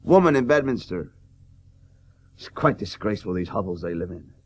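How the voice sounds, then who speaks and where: An actor in a radio play playing a bluff, hearty, excitable Victorian cricketing doctor. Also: male voice, sits low, moderate pace. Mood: earnest. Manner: blustery, excitable. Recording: hissy old radio broadcast recording.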